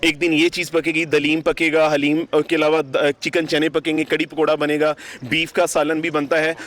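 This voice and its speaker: A man's voice: fairly high pitch, little pitch movement, moderate pace, fairly bright tone. A young man with a Pakistani accent answering interview questions as a volunteer organiser, earnest and fluent with pious phrasing. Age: young adult. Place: outdoors beside a city park. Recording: outdoor street noise, passing vehicles.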